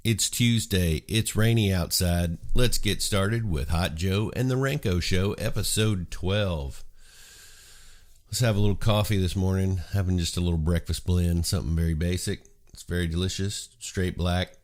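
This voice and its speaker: Congested man with a very deep voice. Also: normal speed. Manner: relaxed, rambling, many ums and uhs. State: congested from a cold. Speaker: host. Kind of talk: casual morning chat show.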